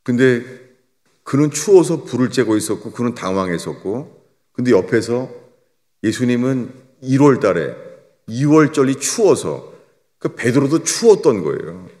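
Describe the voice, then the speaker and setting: An older man preaching a sermon as a pastor, swinging between raised emphatic lines and low, quiet drops. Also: sing-song, normal speed. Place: church sanctuary. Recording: long church reverb.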